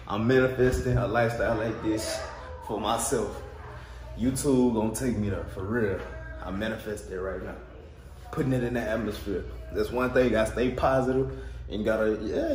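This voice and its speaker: A young man, chatting casually and slangily in an upbeat, emphatic way as he vlogs. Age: young adult. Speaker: vlogger. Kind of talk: casual vlog chat. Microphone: phone or action camera at arm's length. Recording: noisy handheld camera audio with ambient rumble.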